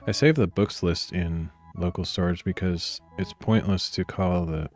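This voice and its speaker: Very low, grainy-voiced man coding. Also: fairly even intonation, measured pace, soft background music. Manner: soft-spoken, meandering, frequent throat clearing. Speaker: streamer coding live. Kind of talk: casual thinking aloud while coding.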